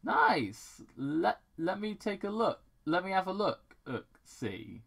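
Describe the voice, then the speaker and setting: Someone reading as an encouraging dad, pitched up. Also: sing-song, slow pace, creaky, full character voice. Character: kindly, easygoing father talking to his daughter.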